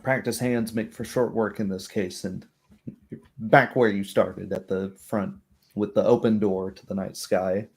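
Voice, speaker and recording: A man narrating as game master of a tabletop roleplay, calmly describing the scene. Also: on the low side, a little slow, creaky, husky.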